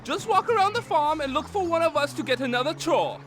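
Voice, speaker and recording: A woman's voice: high voice, expressive, fairly quick. A woman voicing a cheerful, perky little farm helper creature in a cartoon video game. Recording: music under the voice.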